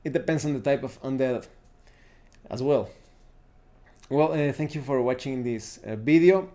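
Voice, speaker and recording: Guy with a soft mellow voice, medium pitch, explaining stuff casually for his channel, stumbles and restarts words a lot. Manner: halting, self-correcting delivery. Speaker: YouTube host reviewing roleplaying games. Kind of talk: casual unscripted explanatory talk.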